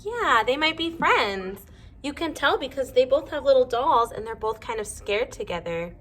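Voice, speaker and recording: A woman teacher reading a storybook aloud to young children, pausing to ask them simple questions. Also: high-pitched, very animated, fairly bright tone.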